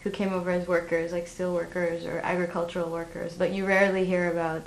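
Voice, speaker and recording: A woman's voice: lowish voice, flat delivery, fast, vocal fry. A woman asking questions casually as an interviewer. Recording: roomy, echoing, faint background noise.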